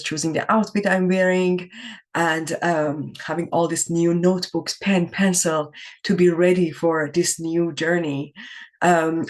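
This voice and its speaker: Earnest woman from Iran, storytelling keynote. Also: lowish voice. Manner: earnest, reflective, with pauses and self-corrections. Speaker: keynote speaker.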